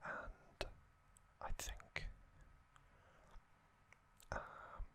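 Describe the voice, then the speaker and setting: A man hosting an ASMR video, whispering a casual, opinionated fan recap with frequent pauses and ums. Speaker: ASMR host.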